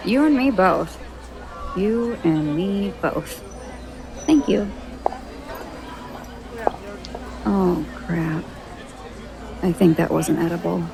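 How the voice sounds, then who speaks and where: An actress playing a wry, awkward young American woman adventurer in a fantasy audio drama, with an American accent and a creaky voice. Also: fairly low pitch, slow pace, long pauses, crowd noise, noisy background. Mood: amazed, joking. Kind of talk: naturalistic audio drama acting.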